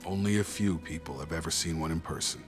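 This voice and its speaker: A man playing a steady, pragmatic soldier squadmate in a video game, with a matter-of-fact manner. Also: very deep voice, quick pace, faint music behind. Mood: explaining.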